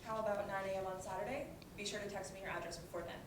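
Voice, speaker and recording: A woman's voice: mid-range voice, steady tone, racing, close to an everyday voice. A woman playing a brusque, independent sister in a dramatic reading of a play, talking bluntly and casually. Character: Claire, brusque, independent sister on a phone call. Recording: echoey, distant, noisy room.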